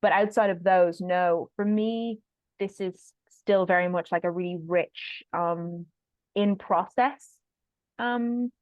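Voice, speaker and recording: Halting woman researcher on muffled call. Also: fairly low pitch, no room sound. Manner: halting, apologetic, repeats words. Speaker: guest speaker, researcher. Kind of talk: informal academic question and answer. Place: online video call, close dry sound.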